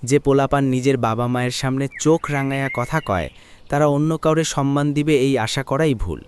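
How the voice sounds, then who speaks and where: This is a man acting an earnest villager in a cartoon drama. He preaches firmly in at a medium pitch, with slight noise in the background. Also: moderate pace, lightly acted. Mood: stern, earnest. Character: earnest, moralizing village man correcting his elder. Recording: faint background noise with clicks.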